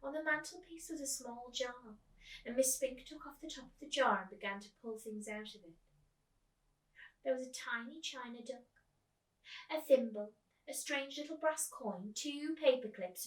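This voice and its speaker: A woman teacher reading a storybook aloud to children and then asking them questions, with an expressive, lively manner. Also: on the high side, moderate pace, reverberant, distant mic, rough recording.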